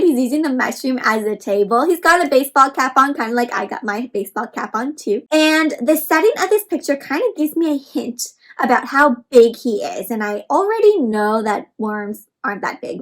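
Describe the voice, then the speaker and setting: High-voiced woman reading aloud to children. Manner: expressive, instructive, addresses listeners as friends with frequent questions. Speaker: children's read-aloud host and teacher. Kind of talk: interactive picture-book read-aloud for children, asking questions.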